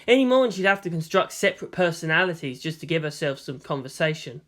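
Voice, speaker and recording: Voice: medium pitch, lively intonation, fast talker, fairly bright tone. Audiobook narrator reading fiction.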